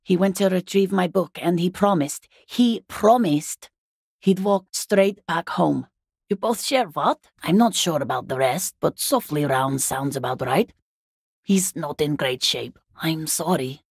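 A woman playing a weary, guarded working class wife and mother in a video game, husky, plain-spoken and exasperated. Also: lowish voice, a little slow, dry recording, clean studio sound, exaggerated voice. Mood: weary, insistent. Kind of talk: video game character dialogue.

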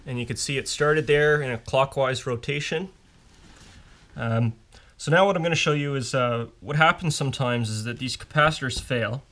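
A man's voice: medium pitch, a bit bright. A man giving a casual, step-by-step technical demonstration as an instructor at a workbench. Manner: informal, explanatory, step-by-step. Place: indoors at a workbench.

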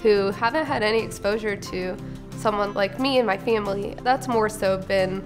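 A woman's voice: mid-range voice, normal speed, over music. A young woman speaking candidly and casually in a documentary interview, with a lightly amused tone. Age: young adult. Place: living room, indoors.

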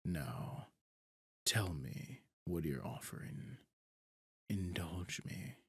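A man playing a smug, possessive demon deal-maker in an ASMR roleplay, husky and breathy, often near a whisper, theatrical and taunting. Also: sits low, lively intonation, no room sound, clean studio sound, full character voice. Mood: intrigued, threatening. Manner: theatrical, taunting, slow and deliberate. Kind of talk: ASMR roleplay audio drama.

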